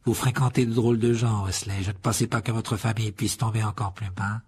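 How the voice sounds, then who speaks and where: A man voicing a contemptuous, aristocratic wizard father in an audiobook, drawling with disdain and mock politeness in a husky voice. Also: fairly low pitch, little pitch movement, fairly quick, darkish tone, a little hiss or hum, close to an everyday voice. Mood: cold sneering. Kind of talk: audiobook character voice.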